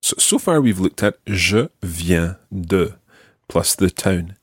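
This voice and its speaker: A man teaching a podcast lesson in a patient, clear way. His low voice moves a lot in pitch and sounds a little husky. The recording is dry and close. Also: animated, takes it slowly, dry recording. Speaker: language teacher and podcast host.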